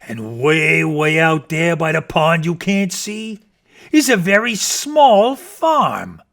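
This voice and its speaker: A man acts out a big, scornful bear from a children's storybook. He brags in slow, stretched words with a forceful edge and growly snorts. His pitch swings theatrically. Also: sing-song, creaky, full character voice. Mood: boastful. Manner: bragging, emphatic, drawn-out words.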